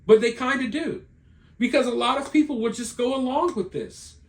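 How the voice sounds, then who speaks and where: A tired, hoarse man giving an indignant, emphatic commentary in a solo video. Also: very high-pitched, moderate pace. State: hoarse, losing his voice.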